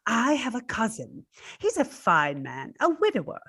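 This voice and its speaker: Woman doing nosy scheming housemaid, old radio play, swoopy higher voice, coaxing and emphatic. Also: wildly swooping pitch, moderate pace, creaky, fairly bright tone, husky, full character voice. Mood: conspiratorial. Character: scheming, cosy, outspoken female household servant. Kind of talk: old-time radio drama acting.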